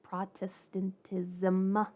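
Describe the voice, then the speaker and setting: A woman's voice: mid-range voice, monotone, very slow, mellow. A woman hosting a livestream, chatting casually and playfully with informal asides. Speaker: livestream host.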